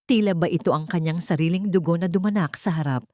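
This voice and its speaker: Filipino female audiobook narrator, low register, dry close sound, dramatic scripted storytelling. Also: fast, mellow, no echo.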